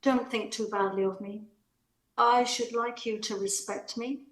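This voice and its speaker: A woman playing a restrained, elegant married lady, wistful yet resolute, in a measured amateur play reading. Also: on the high side, fairly even intonation, echoey room, lightly acted. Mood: frank, tender.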